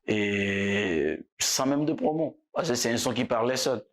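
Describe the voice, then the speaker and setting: A man from Togo, a musician guest talking in a casual interview, relaxed and halting, often repeating words. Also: mid-range voice.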